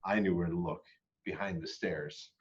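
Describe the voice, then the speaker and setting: A man reading a children's picture book aloud expressively, moving between hushed and emphatic delivery. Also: deep voice, steady tone, normal speed, muffled recording. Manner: dramatic, hushed and emphatic by turns. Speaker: read-aloud storyteller.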